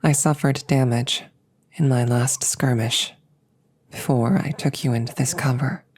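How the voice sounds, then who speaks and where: A woman plays a female combat android with a very deep, dark voice. The machine speaks softly and formally, calm and protective, soothing the listener in slow, measured phrases. It is intimate roleplay audio drama. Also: full character voice. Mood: measured, factual. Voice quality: soft, breathy, often near a whisper.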